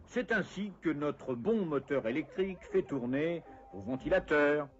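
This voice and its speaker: A man's voice: fairly high pitch, animated, a little slow, highs cut off, faint music behind. A man narrating a scripted educational cartoon in a wry, conversational way, explaining step by step with playful asides.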